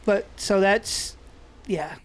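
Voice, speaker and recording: Casual co-host, male, relaxed rambling delivery with occasional smoker's cough. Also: high voice, extremely slow. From Texas. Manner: laid-back, swearing, rambling with restarts, coughing while smoking. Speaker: podcast co-host. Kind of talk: casual chat. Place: indoors, seated smoking.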